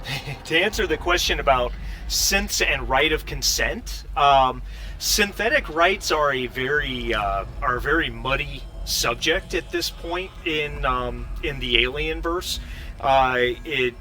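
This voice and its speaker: Bright-voiced man running a tabletop game. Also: mid-range voice, a little slow, a little hiss or hum. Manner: explanatory, self-correcting. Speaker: game master. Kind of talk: narrating and explaining a tabletop game.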